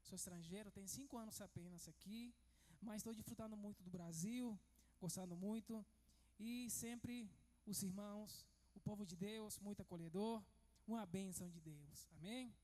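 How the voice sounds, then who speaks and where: A man from Venezuela, preaching a sermon as pastor in a measured way that turns emphatic. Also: high voice, dark tone.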